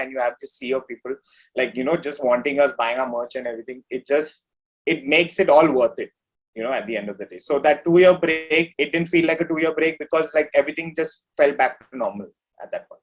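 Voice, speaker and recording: A man chats as an interview guest in a fairly high voice. His delivery is casual and rambling, with thoughts strung loosely together. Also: rough recording. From India. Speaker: metal musician, interview guest. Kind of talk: casual chat.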